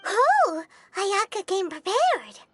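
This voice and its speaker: Tiny squeaky anime game sidekick girl, super high, chirpy n nosy, swoops around, music behind. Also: sing-song, takes it slowly, fairly bright tone, full character voice. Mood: curious. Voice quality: squeaky, childlike. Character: tiny childlike floating companion, chatty, curious girl.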